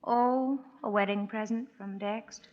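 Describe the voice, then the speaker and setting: A woman's voice: medium pitch, fairly even intonation, unhurried, lightly acted. An actress playing a spirited rich young society woman in a 1940s radio play, wistful and teasing. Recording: dull old radio broadcast.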